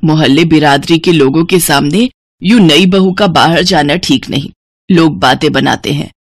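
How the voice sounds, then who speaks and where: A woman's voice: deep voice, normal speed, no room sound, studio quality. Woman playing a firm older mother-in-law. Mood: disapproving. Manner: admonishing. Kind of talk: Hindi cartoon story dialogue.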